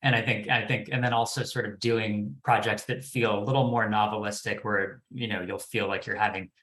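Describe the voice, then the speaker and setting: A man's voice: fairly low pitch, level tone, a little fast, fairly bright tone. A man speaking unscripted as a panelist, hesitant and repeating words as he thinks, recorded in an echoing room. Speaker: podcast development executive on a panel. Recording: roomy, echoing sound.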